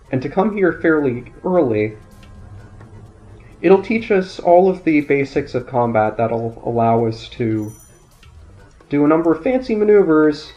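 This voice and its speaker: A man calmly commentating his gameplay, thinking aloud, his voice nasal and raspy from a sinus infection. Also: medium pitch. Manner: calm, explanatory, thinking aloud. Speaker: let's play commentator. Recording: dull lo-fi audio, faint game music.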